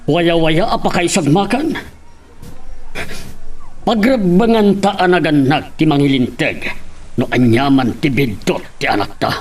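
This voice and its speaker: A man's voice: fairly high pitch, unhurried, pauses often, noisy background, close to an everyday voice. A man playing a stern, protective middle-aged father in an Ilocano radio drama, with a Filipino Ilocano accent. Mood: firm, insistent.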